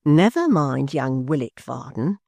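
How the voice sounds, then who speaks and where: A reader voicing a stern, disapproving middle-aged wife in a storybook reading, speaking curtly and reproachfully. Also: male voice, medium pitch, big pitch swings, measured pace, vocal fry, a little mellow, dry recording, studio quality, full character voice. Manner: curt, reproachful.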